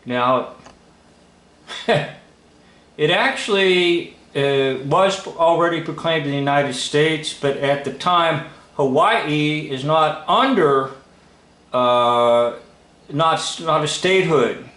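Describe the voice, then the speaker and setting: A man giving informal, unscripted commentary as a video host, speaking in halting, broken phrases with emphatic stresses. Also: on the high side, fairly bright tone. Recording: roomy, echoing room sound.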